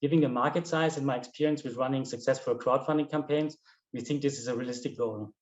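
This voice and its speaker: A man from Germany with a German accent, giving a rehearsed, earnest product pitch and demonstrating a device. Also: quick pace. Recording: roomy, dull lo-fi sound.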